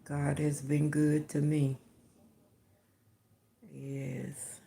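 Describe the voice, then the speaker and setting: An older woman talks slowly and warmly to her livestream viewers, answering their comments from home in the evening. Her voice is heavily gravelly and mellow, with a distinctive sound of its own. She chuckles easily and keeps a relaxed, affectionate manner. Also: low-pitched. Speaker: livestream host greeting viewers. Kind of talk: casual chat answering comments.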